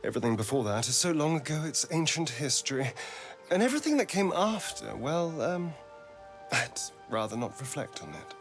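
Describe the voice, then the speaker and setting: A man's voice: on the high side, very animated, normal speed. Arch sardonic male vampire from a video game, swoopy theatrical voice, faint music behind. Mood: guarded, dismissive. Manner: arch, dramatic, swooping delivery. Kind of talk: video game character acting.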